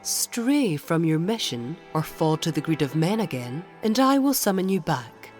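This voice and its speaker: A woman playing a stern, commanding mother goddess in an audio drama, speaking in a formal, imperious, measured way. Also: on the low side, sing-song, soft background music.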